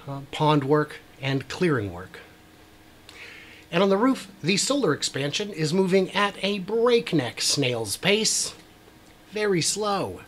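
A middle-aged man talks through construction progress in an easy, chatty way, dropping wry asides and plenty of filler words. His voice sits a little above the usual male range. He records in a small room at his desk, with a faint hum of ambience behind him. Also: fairly high pitch. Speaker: YouTube host. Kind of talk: casual commentary over drone footage. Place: small room with a brick wall.

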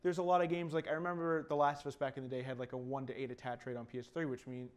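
A man's voice: mid-range voice, level tone, very fast. A man in his twenties giving opinions as co-host of a casual discussion about video games. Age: 20s. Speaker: talk show co-host. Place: small TV studio, seated.

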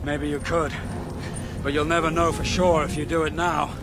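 An actor playing a bold, ambitious, rebellious warrior prince, imperious and declamatory, in a historical radio drama. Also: male voice, on the high side, flat delivery, moderate pace, lightly acted. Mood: wary, defiant.

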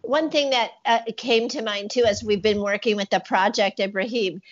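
A middle-aged woman with a mid-pitched voice conducts a conversational interview. She muses in broken phrases, heard through slightly muffled video call audio. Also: steady tone, normal speed. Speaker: interviewer, arts curator. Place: indoor room. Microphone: wired earphones on a video call.

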